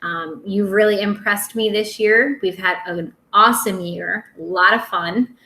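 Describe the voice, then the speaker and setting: A woman teacher reading aloud to young children in an expressive, playful, dramatic way. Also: mid-range voice, measured pace, reverberant.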